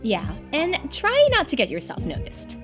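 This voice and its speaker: Game role: performer as weary, secretive woman, tense pleading delivery, raised pitch. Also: huge pitch swings, normal speed, over music. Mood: anxious pleading.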